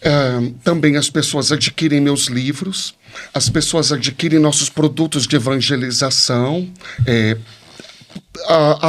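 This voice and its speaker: A man's voice: fairly high pitch, a bit bright. A Brazilian man, a priest, explaining things conversationally as an interview guest. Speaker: guest priest, charity founder.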